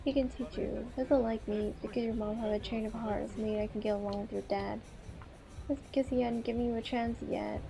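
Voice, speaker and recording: Woman reading mellow, gentle young married couple. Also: a little high, vocal fry. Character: self-doubting husband and gently encouraging wife in visual novel. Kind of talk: visual novel dialogue read aloud.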